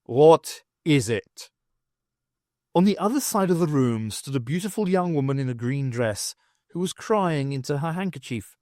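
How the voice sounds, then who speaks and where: A man narrates a novel with an expressive, wry delivery, his pitch rising and falling freely. His voice sits a little high and sounds dry and close to the mic. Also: a little fast, no room sound. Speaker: audiobook reader. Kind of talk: audiobook narration.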